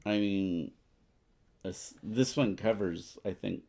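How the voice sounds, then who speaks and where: A man with a lowish voice and heavy vocal fry co-hosts a board game session. He talks casually with his co-player and reads passages of game text aloud. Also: takes it slowly, warm tone. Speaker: co-host of board game playthrough.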